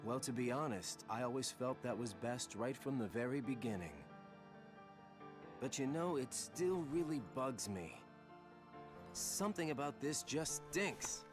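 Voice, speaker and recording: A man's voice: medium pitch, animated, unhurried, natural voice. A man voicing a sardonic, hot-tempered but loyal young man in a video game, with a wry, emphatic drawl. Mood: wry, uneasy. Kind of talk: dramatic video game voice acting. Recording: game audio over music.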